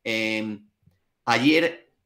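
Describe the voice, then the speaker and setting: A man's voice: on the low side, drawn-out words, fairly bright tone. A man with a Spanish accent from Spain, a finance exam trainer, answering interview questions in a frank, colloquial way with mild swearing. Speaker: CFA trainer and academy director.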